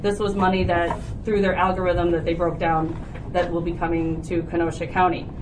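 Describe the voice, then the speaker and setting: A woman presents a public health budget to a county committee, walking through the figures item by item with frequent fillers. She sounds set back from the microphone, and a steady noise runs under her voice in the meeting room. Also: on the low side, normal speed. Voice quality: loud voice. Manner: explanatory, organized, with frequent "so" and "uh". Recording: distant, noisy room recording.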